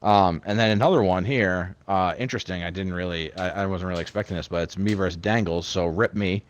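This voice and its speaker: A man's voice: deep voice, brisk. A young man hosting a podcast, talking in a laid-back, rambling way and swearing freely. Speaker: podcast host. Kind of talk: casual chat.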